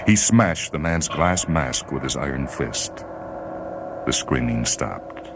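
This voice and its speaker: Very deep-voiced male story narrator. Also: expressive. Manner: grave, measured, theatrical emphasis. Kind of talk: dramatic scripted short-story narration. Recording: faint noise and ambient sound bed. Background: faint ambient sound.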